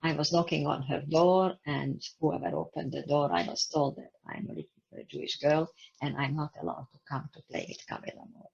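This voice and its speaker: A woman in her eighties from Serbia giving personal testimony over a video call, speaking slowly in halting phrases. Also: sits low, vocal fry, slightly rough. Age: 80s. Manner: slow, halting, non-native phrasing. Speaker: Holocaust survivor interviewee. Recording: dull lo-fi video call audio.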